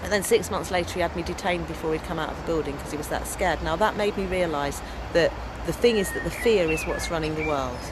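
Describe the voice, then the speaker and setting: A woman's voice: fairly low pitch, fast, hardly any pauses, background noise. An English woman with a big, strong voice, telling stories in a casual outdoor interview. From England. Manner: loud, strong voice with lively storytelling. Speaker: interviewee, activist and storyteller.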